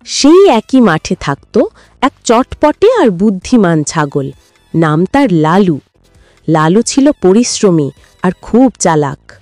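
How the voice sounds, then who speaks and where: Woman, children's storyteller, scripted narration, lively intonation, close dry sound. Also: fairly low pitch, takes it slowly, no room sound, soft background music.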